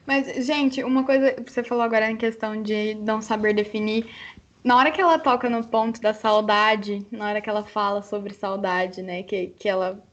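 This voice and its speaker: A woman's voice: medium pitch, fairly even intonation, moderate pace, dull audio. A woman in an online book club who talks eagerly and informally, full of fillers. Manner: enthusiastic, informal, uses lots of fillers. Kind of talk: casual literary discussion. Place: home, online video call.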